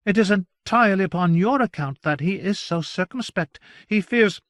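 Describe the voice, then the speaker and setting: A man voicing a fawning, effusive male gentleman who flatters and exclaims. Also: high-pitched, moderate pace, dry recording, studio quality, voice pushed up. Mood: flattering.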